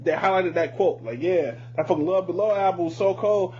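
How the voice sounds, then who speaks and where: Higher-voiced man, halting and profane. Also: darkish tone. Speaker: podcast co-host. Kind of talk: casual music discussion. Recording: muffled lo-fi podcast audio with hum.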